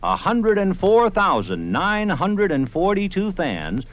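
Male radio documentary narrator, slightly high voice, lively intonation, scripted history. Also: takes it slowly, no echo, dull audio. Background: music.

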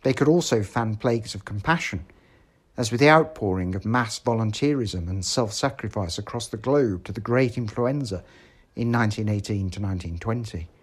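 A man reading a history article aloud in a formal, measured manner. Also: lowish voice, slightly rough. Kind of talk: scripted narration, reading an essay.

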